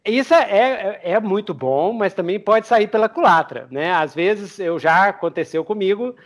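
A Brazilian man chatting casually as a podcast host, telling stories in an animated way. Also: high-pitched, moderate pace. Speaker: podcast host, game master.

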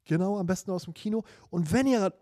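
Young guy cohosting a podcast, high mellow voice, dry close mic, goofs around. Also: quick pace, no room sound. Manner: joking, playful, drops in English words. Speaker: podcast co-host. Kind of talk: casual podcast banter.

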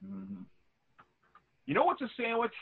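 A man from the Boston area co-hosting a podcast, chatting casually, animated and argumentative, laughing often, heard over a call-in line. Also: extremely high pitch, measured pace, husky, dry recording. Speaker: podcast co-host. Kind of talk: casual banter. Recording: tinny dry phone-like line.

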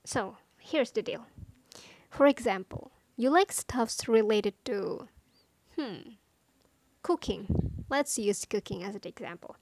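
A woman's voice: fairly high pitch, lively intonation, slow pace, long pauses, darkish tone. A woman who speaks English as a second language, giving casual advice in a playful, self-deprecating, chatty way. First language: not English. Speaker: content creator giving language-learning advice. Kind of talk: casual monologue to listeners.

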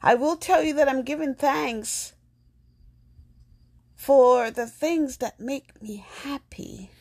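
A woman from Jamaica hosting her own podcast, talking in a soft, slow and earnest reflective monologue. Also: high voice. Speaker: podcast host.

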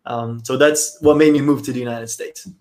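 Relaxed young man from Brazil. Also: mid-range voice, moderate pace. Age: 20s. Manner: relaxed, many fillers. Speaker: podcast guest. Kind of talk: casual interview.

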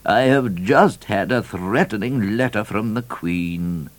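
A pompous colonial governor, as a man reading a story puts him on, speaks in drawn-out phrases with a lively rise and fall. He is fretful and easily swayed, a storybook official of some self-importance. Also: on the low side. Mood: anxious formality.

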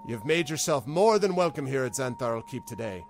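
A man's voice: medium pitch, expressive, a little fast, pitched above the performer's own voice, close to an everyday voice. A man voicing a blunt, commanding keep leader in a tabletop game, with faint music behind. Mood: stern.

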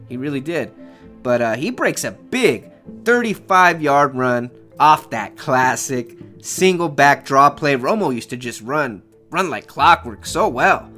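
A man's voice: fairly high pitch, fairly bright tone. A man hosting a sports podcast, recounting football games in a casual, opinionated, slangy way like an emotional diehard fan.

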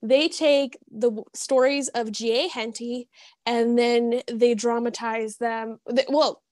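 A woman's voice: a little high, steady tone, slow pace, darkish tone, no echo, clean studio sound. A woman guest on a podcast, talking casually and enthusiastically. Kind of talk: casual chat.

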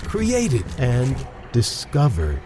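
An actor playing a mysterious narrator in an eerie audio drama, delivering cryptic lines with deliberate, dramatic phrasing. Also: mid-range voice, huge pitch swings, noisy background, exaggerated voice. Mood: ominous, cryptic. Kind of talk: slow dramatic scripted narration.

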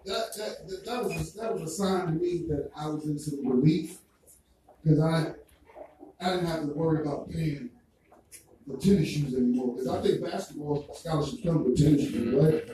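A man with a Jamaican accent doing stand-up, talking animatedly and breaking into loud character voices. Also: a little high, normal speed. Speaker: stand-up comedian. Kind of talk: stand-up routine. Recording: roomy echo, distant mic, faint noise.

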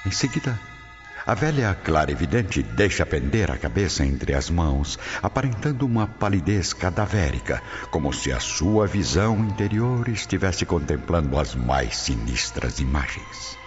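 Very deep, theatrical male narrator. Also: big pitch swings, moderate pace. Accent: Brazilian. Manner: solemn, theatrical, formal. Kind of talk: scripted radio drama narration. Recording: dull audio, long reverb, music behind.

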